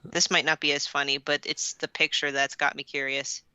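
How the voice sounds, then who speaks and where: A woman's voice: sits low, little pitch movement, normal speed, sharp tone, smooth, no room sound. A woman co-hosting a podcast, bantering casually and reading aloud for comedy with an incredulous, exclamatory manner. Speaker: podcast co-host.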